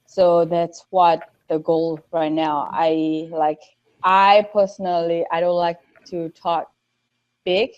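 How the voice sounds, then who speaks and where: A woman's voice: lowish voice, level tone, takes it slowly, clean tone. A woman guest on a podcast, a non-native English speaker with a slight Newfoundland accent, talking casually with many fillers. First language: not English. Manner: soft-spoken, many fillers. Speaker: guest powerlifter. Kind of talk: casual interview chat. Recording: dull lo-fi sound.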